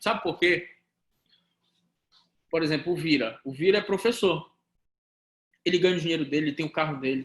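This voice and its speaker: Animated Paraense law lecturer, roomy echo. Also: male voice, fairly high pitch. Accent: Paraense Brazilian Portuguese. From Pará, Brazil. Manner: animated, talks to students directly, rhetorical questions. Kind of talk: recorded law lesson.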